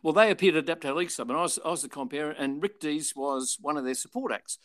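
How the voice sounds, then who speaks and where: An older Australian man, a former radio and television presenter, telling anecdotes in a relaxed interview and acting out the people he quotes. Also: fairly high pitch, fast talker, dry recording, studio quality. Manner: chatty, animated retelling with voiced quotes.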